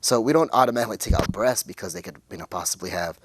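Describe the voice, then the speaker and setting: A man in his forties from West Texas, talking casually and haltingly as a guest, searching for words as he shares a personal account. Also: on the low side, noisy background. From West Texas, United States. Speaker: interview guest, intersex speaker.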